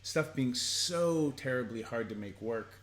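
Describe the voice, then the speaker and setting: A man's voice: mid-range voice, expressive, a little slow. A man co-hosting a casual advice chat, playful and jokey, thinking aloud as he talks. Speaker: co-host.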